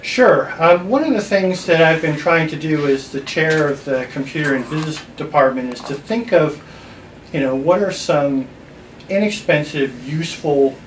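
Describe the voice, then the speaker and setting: A man from upstate New York giving informal answers as a college department chair being interviewed. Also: on the high side. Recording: roomy echo, distant mic, background noise.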